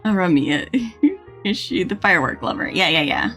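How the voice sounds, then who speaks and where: A woman's voice: lowish voice, animated, normal speed, a bit bright. A woman, chatting casually and in a friendly way as she streams a game. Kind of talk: casual livestream chat and game commentary. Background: faint game music.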